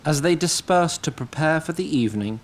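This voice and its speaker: A man's voice: fairly high pitch, expressive, fairly quick, a little hiss or hum. A man reading scripted storytelling narration as the narrator of an audio drama.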